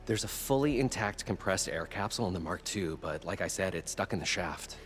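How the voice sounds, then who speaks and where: An actor playing an eager, chatty salvager in a video game cutscene. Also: male voice, low-pitched, expressive, fairly quick, a bit bright, soft background music. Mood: friendly. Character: eager older male salvager, folksy and chatty.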